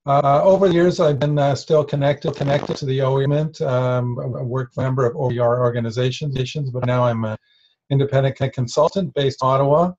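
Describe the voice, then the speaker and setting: A middle-aged man introduces himself on a video call, halting and restarting as he goes. His mid-range voice comes through muffled, lo-fi call audio. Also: medium pitch, fairly even intonation, a little mellow. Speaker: course participant.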